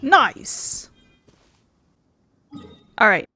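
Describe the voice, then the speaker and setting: A woman's voice: extremely slow, sharp tone, no room sound, clean studio sound, soft background music. A woman doing casual, playful, rambling commentary as she plays a video game. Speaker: gaming video host.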